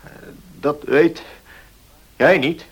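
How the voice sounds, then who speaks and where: An actor in a radio play voicing an ailing, stubborn notary who speaks in a halting, strained way. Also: male voice, fairly high pitch, fairly even intonation, slightly rough, heavily acted. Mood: strained, insistent. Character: ailing, stubborn notary, desperate to make amends.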